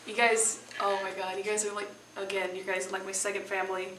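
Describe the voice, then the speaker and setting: A woman's voice: medium pitch, little pitch movement, fast. A teenage girl gushing emotionally in a casual interview, close to tears. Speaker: high school student actor. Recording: echoey noisy room, distant mic.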